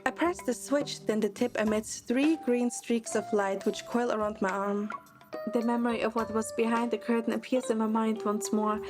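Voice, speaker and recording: A woman's voice: a little high, fairly even intonation, normal speed, over music, lightly acted. A woman voicing a curious, earnest young female hunter recruit, narrating a game story in first person.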